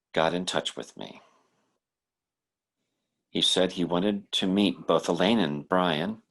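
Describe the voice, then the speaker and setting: A man's voice: sits low, a bit bright, heavily acted. An actor playing an anxious, haunted man who narrates and takes part in an old-time radio horror drama. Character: haunted, anxious male narrator recounting an eerie tale.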